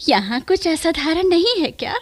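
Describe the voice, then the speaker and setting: A woman's voice: very high voice, expressive, moderate pace. A woman playing a dreamy, wilful young courtesan in love, speaking poetic, formal lines in a radio play. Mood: self-admiring, dreamy. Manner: poetic, formal, lingering delivery. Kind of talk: theatrical Hindi radio drama acting.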